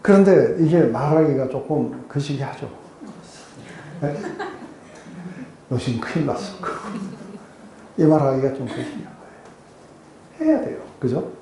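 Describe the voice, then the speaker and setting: Muffled-dark, echoey male preacher teaching. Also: medium pitch, expressive, moderate pace, long pauses, a little hiss or hum. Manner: explanatory, often checks in with listeners. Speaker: pastor teaching a Bible study group. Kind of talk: conversational expository sermon with rhetorical questions. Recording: roomy echo.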